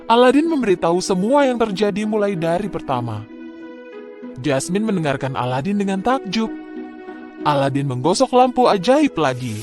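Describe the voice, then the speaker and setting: High-pitched man narrating a fairy tale. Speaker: storyteller.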